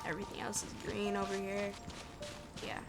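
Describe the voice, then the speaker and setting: A woman's voice: medium pitch, level tone, moderate pace, creaky. Woman game streamer: mid-range voice, loose informal commentary, thinking aloud over music. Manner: informal, muttering to herself.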